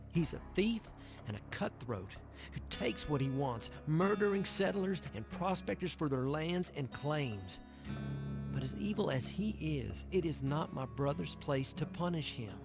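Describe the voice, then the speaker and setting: A man's voice: on the high side, creaky. A man playing a pious, anguished young brother in a Western game, earnest and sermonizing in his delivery. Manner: earnest, sermonizing, quotes scripture. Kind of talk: dramatic Western game narration and dialogue.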